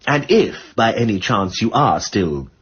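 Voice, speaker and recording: An actor playing a witty, voluble aristocratic amateur detective in a radio drama, with an upper-class English accent and flippant period slang. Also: male voice, on the low side, sing-song, unhurried, fairly bright tone, breathy edge, lo-fi sound, heavily acted. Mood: brisk, insistent.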